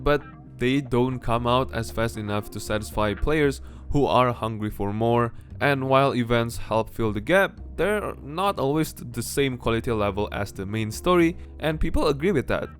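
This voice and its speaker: A man narrating a game review in a casual, opinionated way, blunt and conversational with offhand asides. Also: mid-range voice. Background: music with guitar under the voice.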